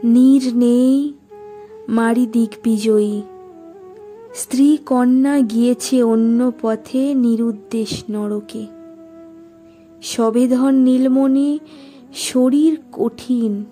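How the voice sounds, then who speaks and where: A woman reciting poetry in a dramatic style, with measured, deliberate phrasing and expressive pauses. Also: fairly high pitch, faint music behind.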